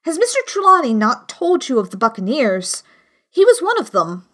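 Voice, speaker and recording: A woman voicing a polite, excitable young cabin boy in a storybook reading. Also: a little high, takes it slowly. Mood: earnest.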